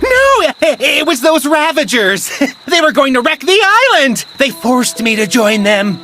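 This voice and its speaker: High, swooping, greedy little coward. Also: female voice, sing-song, fairly bright tone. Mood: panicked, pleading. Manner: exaggerated, drawn-out wheedling. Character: greedy cowardly small scoundrel, whiny and scheming. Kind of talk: cartoonish video game acting. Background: game music.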